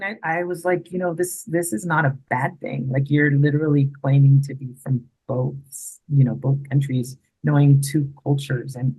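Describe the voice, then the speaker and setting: A man's voice: a little high, darkish tone, husky, no room sound, low-quality recording. A man speaking as a guest in a casual interview, reflective and thoughtful, often restarting his sentences.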